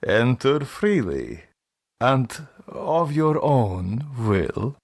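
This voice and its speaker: A man voicing a courtly, eerie old vampire count with a strange foreign accent and a slow, stately delivery, in an audiobook. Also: medium pitch, big pitch swings, full character voice. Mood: solemn welcome. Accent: foreign, strange intonation.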